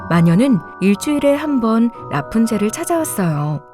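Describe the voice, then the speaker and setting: A woman reading scripted fairy-tale narration for children in an expressive, measured storytelling way. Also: on the high side. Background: soft music.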